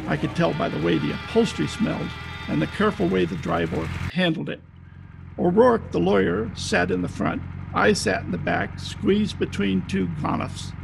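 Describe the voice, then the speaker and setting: A man's voice: high-pitched, steady tone, normal speed, vocal fry, music in the background. A man playing a tough, wisecracking private detective in an old-time radio style, talking in clipped slang. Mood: wry narrating. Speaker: amateur radio play actor. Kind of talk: hardboiled 1940s radio detective acting. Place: at home, recorded over video call.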